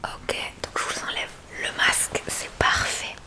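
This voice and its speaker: A woman whispering softly and breathily in an ASMR roleplay as a gentle, reassuring hearing examiner. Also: measured pace.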